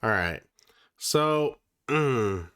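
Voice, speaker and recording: A man's voice: medium pitch, expressive, extremely slow, a bit bright, clear, resonant tone, dry recording, clean studio sound. Sick, nasal-sounding man streaming casually. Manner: casual and profane, with many fillers and restarts. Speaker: livestream host. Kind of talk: casual livestream chat.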